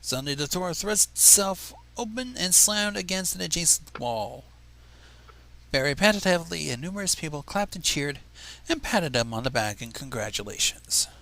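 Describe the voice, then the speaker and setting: A man's voice: fairly high pitch, expressive, normal speed, creaky, bright, forceful tone, low-quality recording. A man hosting a reading of fanfiction, breaking off for sarcastic, profane asides. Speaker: fanfiction reader and livestream host. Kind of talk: dramatic reading with mocking asides.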